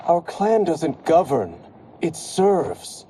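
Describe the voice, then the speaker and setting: A man's voice: fairly high pitch, very animated, very slow, warm tone, slight background noise, exaggerated voice. An actor playing an earnest, dutiful young male warrior in a game cutscene. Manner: earnest, principled. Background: orchestral game music.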